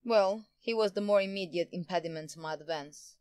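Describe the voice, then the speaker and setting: A woman's voice: on the low side, a little fast, darkish tone, clear, resonant tone, close to an everyday voice. A woman playing a smug, power-hungry politician in an audio drama, pompous and theatrical in manner. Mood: smug, boastful. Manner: theatrical, pompous, self-satisfied. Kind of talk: audio drama villain acting.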